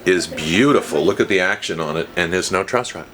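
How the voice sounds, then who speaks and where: A man's voice: low voice, a little fast, fairly bright tone. A man in his fifties explaining things in a casual, storytelling way, like a shop dealer talking to a visitor. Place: small cluttered guitar shop, indoors. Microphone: camera mic a short distance away. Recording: noisy handheld camera recording.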